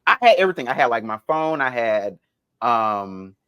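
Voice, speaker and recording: A man tells stories in a loose, conversational podcast style. His pitch is mid-range and moves around a great deal. He retells moments dramatically, slipping into acted-out quotes. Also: big pitch swings, moderate pace, smooth, muffled recording. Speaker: podcast co-host. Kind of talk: casual conversational storytelling.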